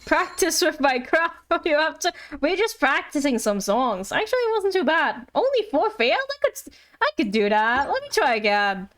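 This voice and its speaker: A woman's voice: high voice, very animated, moderate pace, fairly bright tone. A giggly, playful woman live streamer chatting casually while she plays games. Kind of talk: casual gaming stream chat. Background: music.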